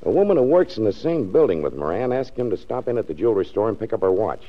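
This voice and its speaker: An actor playing a brisk, skeptical, emphatic district attorney in a 1940s radio drama. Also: male voice, fairly low pitch, very animated. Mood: matter-of-fact. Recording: muffled 1940s radio recording.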